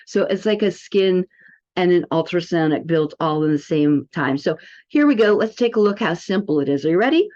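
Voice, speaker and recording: A woman's voice: lowish voice, lively intonation, moderate pace, darkish tone. A woman giving a casual product demo, chatty and enthusiastic as she speaks directly to viewers. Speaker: presenter demonstrating a health product. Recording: dull, lo-fi sound.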